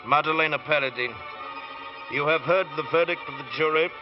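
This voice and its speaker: An actor playing a stern, solemn judge, speaking formally and with measure in an old radio drama. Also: male voice, fairly high pitch, a little fast, close to an everyday voice. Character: stern, formal male judge pronouncing sentence. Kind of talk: 1940s radio drama acting. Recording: dull old radio recording, loud music.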